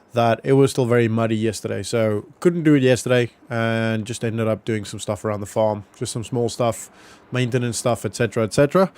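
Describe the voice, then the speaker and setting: Croaky-voiced man rambling over gameplay. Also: mid-range voice. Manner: relaxed, rambling, many fillers. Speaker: gaming YouTuber. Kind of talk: casual gameplay commentary.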